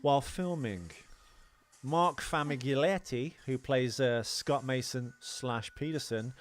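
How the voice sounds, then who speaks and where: A man's voice: mid-range voice, slow. A man co-hosting a podcast, chatting casually about film trivia in a bantering, teasing way. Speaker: podcast co-host.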